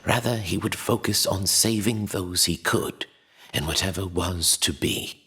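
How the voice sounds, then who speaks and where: A man playing a learned old archivist who tells a fantasy legend in a grave, measured, theatrical way. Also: deep voice, vocal fry, bright, forceful tone, breathy edge, full character voice. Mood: grave, portentous. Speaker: narrator. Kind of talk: dramatic fantasy audio drama storytelling.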